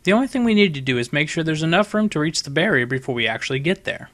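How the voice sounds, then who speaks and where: A man's voice: on the high side, very animated, quick pace, fairly bright tone. A man voicing a brash, boastful young female pegasus pony in a storybook reading, cocky and emphatic. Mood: matter-of-fact, confident. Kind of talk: fan fiction audiobook character voice.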